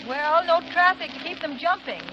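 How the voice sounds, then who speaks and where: High-voiced doubting sidekick, 1930s radio, muffled and hissy. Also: female voice, moderate pace, over music. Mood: skeptical. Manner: light, questioning. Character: loyal, skeptical female companion. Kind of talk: 1930s radio drama acting. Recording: muffled old radio broadcast with hiss.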